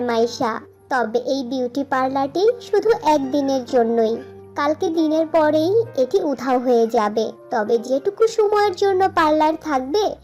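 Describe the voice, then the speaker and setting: A woman voicing a kind, gentle young fairy in a fairy-tale cartoon, speaking earnestly and reassuringly. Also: high voice. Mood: encouraging. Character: kind young female star fairy with magic wand.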